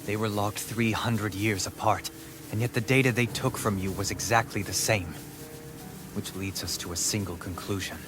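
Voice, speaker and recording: An actor playing a calm, analytical man in a video game, laying out a deduction in a measured way. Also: fairly low pitch, natural voice. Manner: measured, reasoning. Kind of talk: video game cutscene acting. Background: game music.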